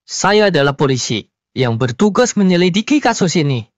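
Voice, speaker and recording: A man's voice: high voice, sing-song, moderate pace, no room sound. A man voicing a stern undercover police investigator in a cartoon, speaking formally and firmly. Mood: formal, composed. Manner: formal, firm. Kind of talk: Indonesian cartoon dialogue, formal. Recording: music behind the voice.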